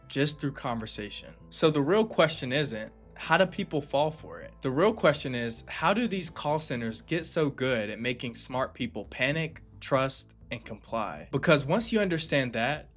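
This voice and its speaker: A man hosting and narrating a scripted explainer in an emphatic, deliberate way, stressing key phrases. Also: mid-range voice, lively intonation, fairly bright tone. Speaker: video narrator and host. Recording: music bed under the voice. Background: background music.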